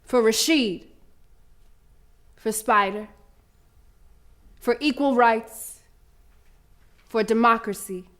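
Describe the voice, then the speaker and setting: An actor playing a fierce young girl runner in an audio play, telling of her grief in earnest, colloquial speech. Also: on the high side. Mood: resolute. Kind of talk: dramatic monologue in an audio play.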